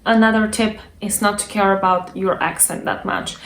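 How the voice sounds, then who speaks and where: A woman's voice: mid-range voice, flat delivery, normal speed, a bit bright. A woman with a non-native English accent giving informal, encouraging language tips as a coach, emphatically repeating her points. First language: not English. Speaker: language coach and online tutor. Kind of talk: informal instructional monologue to camera. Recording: roomy echo, faint background noise.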